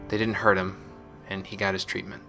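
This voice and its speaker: A man playing a resolute, guilt-burdened space marine, recording a measured, solemn confessional audio log. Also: sits low, little pitch movement, vocal fry, pushed and bright, exaggerated voice. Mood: sober, firm. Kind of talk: scripted sci-fi audio log monologue. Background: music.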